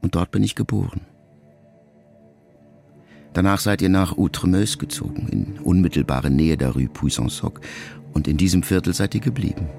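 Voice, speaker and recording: A reflective middle-aged son in a radio play, speaking in a very low, heavily creaking man's voice. He addresses his mother directly, measured and introspective, with music clearly behind his words. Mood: reflective, remembering. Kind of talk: literary radio play monologue.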